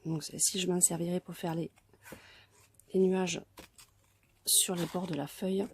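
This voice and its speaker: A woman with a cold, speaking softly and hoarsely, often dropping to a near whisper as she calmly explains a craft tutorial step by step. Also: fairly low pitch, a little slow, slight background noise. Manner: soft-spoken, often near a whisper, hesitant.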